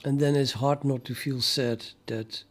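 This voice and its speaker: An older man from the Netherlands with a Dutch accent, talking casually and haltingly to his livestream chat while he codes. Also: mid-range voice, fairly even intonation. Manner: musing, hesitant, halting with many fillers, chuckles to himself. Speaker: programming livestreamer.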